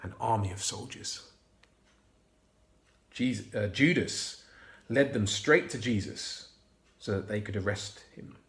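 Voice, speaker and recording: Man softly reading a children's story. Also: lowish voice, a little slow, long pauses. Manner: hushed, expressive, dropping to whispers. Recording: roomy echo.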